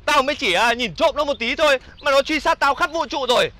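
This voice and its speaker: Brief: very high-voiced, fast-talking, pompous celestial pig marshal played by a male comic, scolding and exclaiming, comedy skit. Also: animated, echoey room, noisy background. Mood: cocky, rambling.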